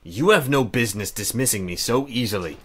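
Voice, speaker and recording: Man playing a cold, low-voiced warlock. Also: expressive, a little fast, fairly bright tone, slightly rough. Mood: indignant. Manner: curt, stiffly formal. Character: brusque, cold, formal male warlock.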